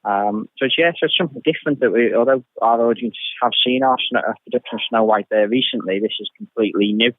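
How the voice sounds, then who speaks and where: A man's voice: mid-range voice, fast talker, hardly any pauses, dry recording. A man being interviewed on radio as a show producer, talking informally and often repeating words as he restarts. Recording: thin phone-like radio interview sound.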